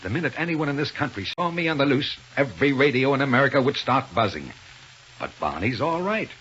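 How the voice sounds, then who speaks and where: A man's voice: medium pitch, very animated, a bit bright. An actor playing a commanding, calculating villain in a 1930s radio serial, authoritative and impatient with his underlings. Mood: confident, calculating. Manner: authoritative, deliberate. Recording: noisy, muffled 1930s radio recording.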